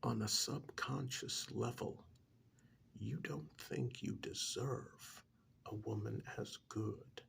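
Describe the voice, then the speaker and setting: A man playing a calm, blunt couples therapist in a soft-spoken ASMR role-play, half-whispering with breathy, deliberate, hesitant pauses. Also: on the low side, a little hiss or hum, heavily acted. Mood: frank, measured. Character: calm, blunt male couples therapist counselling married clients. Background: soft clicking of pencil tapping.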